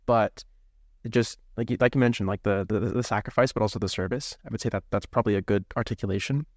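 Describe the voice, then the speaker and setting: A man's voice: on the low side, quick pace, no echo, clean studio sound. A man in his twenties, a seminarian guest, talking reflectively and hesitantly in an interview, often searching for words. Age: 20s.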